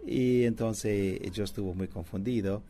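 A male preacher speaks in a gravelly, low voice. His delivery is halting and full of restarts, like someone working in his third language. A faint hiss sits under the recording. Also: mellow. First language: not Spanish; preaches in English and Italian. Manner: halting non-native Spanish with many restarts and fillers. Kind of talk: conversational Bible teaching.

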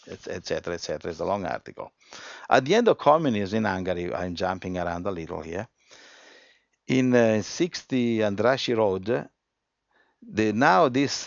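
A man with a low, gravelly voice delivers a sermon, reading scripture and explaining it with emphasis. He restarts often and swings from quiet stretches to loud ones. The recording is dry and dull. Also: no echo. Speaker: preacher.

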